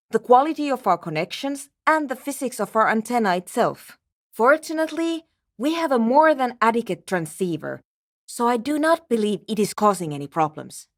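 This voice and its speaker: An actress playing a cool, scientifically curious young woman programmer in a video game, speaking in a measured, technical, dryly formal way. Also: fairly high pitch, no room sound, studio quality. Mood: focused, analytical. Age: young adult. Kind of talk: video game character voice acting.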